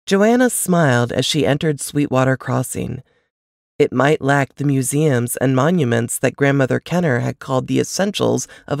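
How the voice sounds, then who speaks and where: A woman reading a novel aloud as scripted narration, with expressive, measured storytelling delivery. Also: rumbling low, vocal fry, no room sound, studio quality. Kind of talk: scripted audiobook-style fiction narration.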